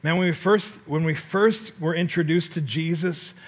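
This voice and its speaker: A middle-aged male pastor preaching on a large church stage into a headset mic, telling stories with sudden loud outbursts and questions to the congregation. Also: on the high side, normal speed. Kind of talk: sermon.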